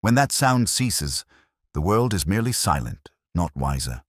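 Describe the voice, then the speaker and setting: A man voicing a reflective, weary detective in an audiobook, measured and eloquent, with wry philosophical speeches. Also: sits low, big pitch swings, dry recording, clean studio sound, heavily acted. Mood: philosophical, calm. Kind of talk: audiobook character dialogue.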